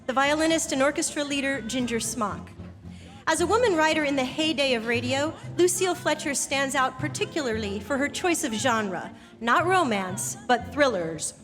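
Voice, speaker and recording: Female host, high voice, scripted narration, theatre reverb, jazz underscoring. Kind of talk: scripted narration to a live audience. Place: theatre stage. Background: jazz music.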